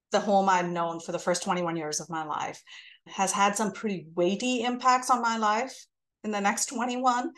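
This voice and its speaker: A woman's voice: medium pitch, fairly bright tone. Woman in her 40s, Indian-American blended accent, thoughtful interview guest recounting her journey. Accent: mixed Indian and American. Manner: thoughtful, measured storytelling. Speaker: podcast guest. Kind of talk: reflective interview talk.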